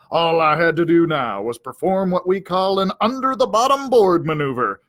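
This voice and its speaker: A man's voice: fairly high pitch, fairly bright tone, dry recording. A man voicing a self-important, aggrieved cowdog who tells his storybook tale in a pompous, melodramatic, wordy way.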